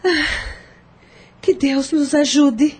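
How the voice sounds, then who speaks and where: A woman's voice: high voice, very slow, a little hiss or hum. An actress with a Brazilian accent playing an anxious, fretful mother in hiding in a radio drama. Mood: worried.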